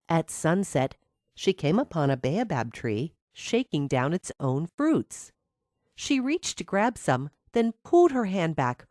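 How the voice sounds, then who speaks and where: A woman's voice: low-pitched, darkish tone, no echo, studio quality. A woman narrating a scripted fairy tale for children as a storyteller.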